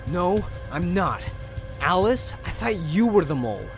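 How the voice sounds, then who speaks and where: A man acts as a driven undercover agent, guarded and earnest, in an audio crime drama. His voice is on the higher side for a man, with faint music behind it. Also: sing-song, unhurried, exaggerated voice. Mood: urgent, defensive.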